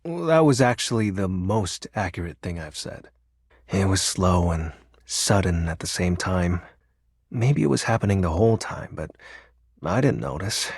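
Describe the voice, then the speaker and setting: Melodramatic, brooding lord of darkness. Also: male voice, fairly low pitch, breathy edge, no echo, clean studio sound, full character voice. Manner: theatrical grandiose villain speech slipping into awkward, wistful sincerity. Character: brooding, lonely, melodramatic male personification of darkness. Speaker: voice actor. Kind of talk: dramatic video game character acting.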